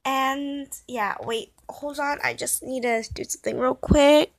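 A young girl, a child or young teen, chatting casually and telling a story in an animated, dramatic way into a phone held at arm's length. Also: sits high, unhurried, creaky. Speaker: vlogger. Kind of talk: casual storytime vlog chat.

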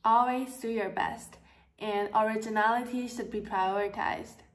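A woman's voice: a little high, steady tone, a little slow, reverberant, recorded from a distance. A teenage girl from Taiwan, explaining a school project in a plain, explanatory way. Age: teens. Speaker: student presenter.

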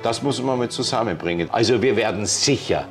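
An older man with a somewhat low voice hosting a formal interview, courteous and polished. He speaks in long, flowing sentences. Faint music runs beneath his lapel-mic sound. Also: animated, brisk. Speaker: interview host. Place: ornate sitting room indoors.

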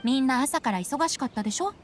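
A woman's voice: sits high, expressive, fast talker, slight background noise. A woman voicing a carefree, playful teenage boy bard in an anime-style video game, with a breezy manner. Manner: breezy, playful, self-admiring. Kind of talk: anime game dialogue acting.

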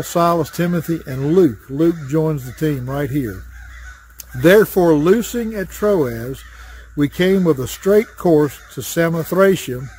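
An older man teaching a Bible study lesson outdoors in a wooded yard, talking in a plain, folksy way with frequent pauses, recorded on a camera at chest height. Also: a little high, darkish tone, a little hiss or hum. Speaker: associate pastor teaching Sunday school. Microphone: webcam or phone framed at chest height. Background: crows cawing.

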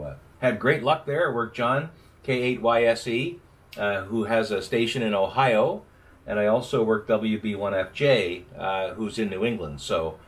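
A man, giving casual explanatory commentary and radio call-outs in a home office. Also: fairly low pitch. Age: 60s. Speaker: amateur radio operator, vlogger. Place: small home office, indoors. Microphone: camera a short distance away. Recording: noisy room, mic some distance away.

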